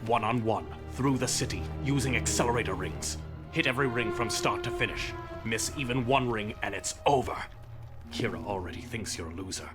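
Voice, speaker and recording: A man's voice: fairly low pitch, fairly quick, natural voice. An actor playing a sadistic, arrogant male racing rival in a video game, smug, taunting and theatrical. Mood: challenging, aggressive. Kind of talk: video game cutscene acting. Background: music.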